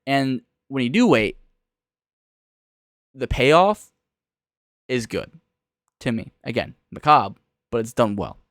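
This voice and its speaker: Clear-voiced young man, close and dry. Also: medium pitch, sing-song, slow, pauses often, a bit bright, no room sound. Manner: rambling, informal, emphatic. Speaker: video reviewer and streamer. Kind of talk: casual reaction commentary.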